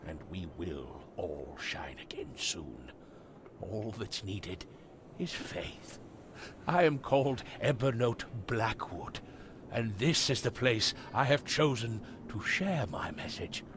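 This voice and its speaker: A man playing a grave, fervent doomsday preacher in a video game, with slow, solemn oratory. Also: on the low side, sing-song, background noise. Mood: fervent, hopeful. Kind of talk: video game cutscene acting.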